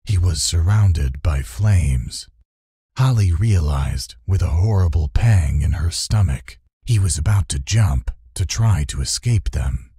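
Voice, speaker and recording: A low-voiced man reads a children's fantasy tale with theatrical suspense. His delivery is unhurried and very husky, with lively swings in intonation. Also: no room sound, clean studio sound. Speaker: audiobook narrator. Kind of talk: dramatic scripted children's fantasy narration.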